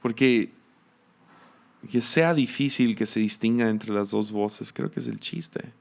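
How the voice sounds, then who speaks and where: A man with a Mexican accent preaching in a gravelly, low voice. He takes his time, musing aloud, restarting his phrases and letting out soft laughs. The tone is that of a reflective devotional teaching. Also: slow.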